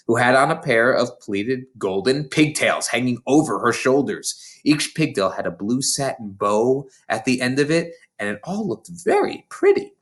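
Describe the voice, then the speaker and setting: Very animated storybook reader. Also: mid-range voice. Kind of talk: expressive read-aloud narration of a children's novel.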